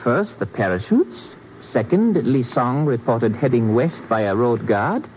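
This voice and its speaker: Suave, menacing enemy lieutenant, swooping voice. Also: male voice, mid-range voice, very animated, measured pace, darkish tone, muffled recording. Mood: suavely menacing. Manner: mockingly courteous, smooth, formal. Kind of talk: 1950s radio drama acting. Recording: old noisy band-limited 1950s radio recording.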